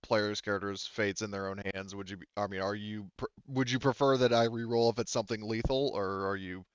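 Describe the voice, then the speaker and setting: Smooth-voiced man running a tabletop game. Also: lowish voice, fairly even intonation, no room sound, muffled recording. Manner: casual, swearing, halting delivery. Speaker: game master. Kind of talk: narrating an online tabletop roleplaying game.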